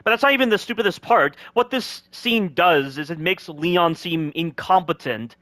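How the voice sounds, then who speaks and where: A man's voice: high voice, lively intonation, normal speed, bright, forceful tone, no echo. A man giving critical voice-over commentary on game cutscenes, asking one rhetorical question after another.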